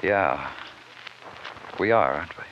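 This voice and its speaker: An actor playing a terse, brooding big-city police detective in a 1950s radio crime drama, clipped and deadpan. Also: male voice, sits low, slow pace, a little hiss or hum. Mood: curt. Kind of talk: 1950s radio crime drama, hard-boiled narration and dialogue. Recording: dull old radio recording with music.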